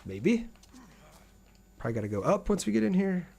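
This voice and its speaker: A man's voice: sits high, very animated, moderate pace, gravelly, mellow, slightly rough. A man hosting a casual gaming livestream, thinking aloud and chatting with viewers as he plays. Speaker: livestream gamer, host. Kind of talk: casual livestream gameplay commentary.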